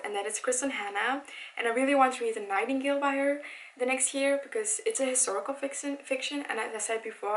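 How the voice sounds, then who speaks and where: Young woman in her twenties, first language Dutch, easygoing and excitable, chatty vlog delivery with lots of fillers and restarts. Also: fairly high pitch, poor audio. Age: 20s. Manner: chatty, enthusiastic, with frequent self-corrections and "like". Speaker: book vlogger. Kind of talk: casual chat. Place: home room by a bookshelf.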